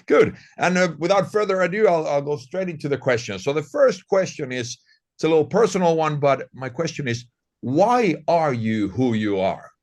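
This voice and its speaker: A man from Finland hosts the conversation with eager, upbeat energy, his voice swinging widely in pitch. He repeats words as he gets going and laughs often along the way. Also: expressive, moderate pace. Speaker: interviewer. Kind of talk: casual interview questions.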